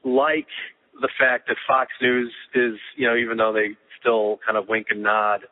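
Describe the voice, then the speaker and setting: Podcast co-host heard over a tinny phone line, mid-pitched man, opinionated and measured, often repeats a word before going on. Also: flat delivery. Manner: opinionated, measured, stammers on restarts. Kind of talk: casual phone conversation.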